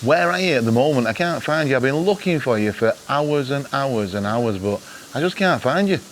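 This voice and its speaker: British man, casual vlog host, jokey and wry, mid-pitched voice, faint noise behind. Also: lively intonation, a little fast. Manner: jokey, wry storytelling with mock exasperation. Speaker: vlog host giving travel gadget tips. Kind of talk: casual chat to camera.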